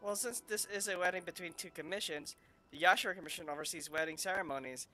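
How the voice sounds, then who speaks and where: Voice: medium pitch, quick pace, sharp tone. A gamer giving casual commentary over a story quest playthrough. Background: game music.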